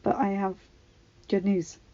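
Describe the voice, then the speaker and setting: A woman's voice: mid-range voice, level tone, a little slow, mellow, highs cut off, natural voice. A woman voicing a devoted young male hero, an earnest and protective husband, in an emotional story reading. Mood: consoling.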